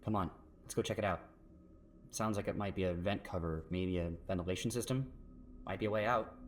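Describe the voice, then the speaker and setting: A male actor plays a young man leading his trapped friends in a horror audio drama. His voice is on the low side, hushed and steady, over faint background noise. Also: a little fast, lightly acted. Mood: hushed, alert.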